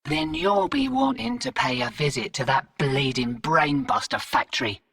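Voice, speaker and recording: In a reverberant space, a cocky streetwise smuggler barks out game dialogue. Her voice is bright and gravelly, with slangy, blunt phrasing. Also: sits low, lively intonation, moderate pace, recorded from a distance, heavily acted. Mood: friendly, sly. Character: brash, streetwise female smuggler and mission commander. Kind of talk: video game character dialogue.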